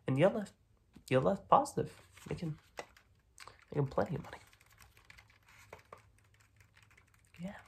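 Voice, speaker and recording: A young man playing a smug, menacing mob boss in an ASMR roleplay, speaking in halting phrases with a breathy, raspy voice that often drops to a whisper. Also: sits high, expressive, a little hiss or hum, pitched above the performer's own voice. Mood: scheming. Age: young adult. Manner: slow, halting, repeats words, quietly intimidating. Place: dim room at home, indoors.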